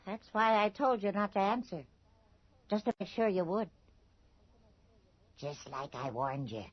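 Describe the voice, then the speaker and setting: Slow lively-pitched voice, woman as hard knowing landlady, coldly menacing, terse, radio drama, muffled broadcast. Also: no echo, lightly acted. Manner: terse, unhurried, taunting. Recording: muffled early 1980s radio broadcast, music bed.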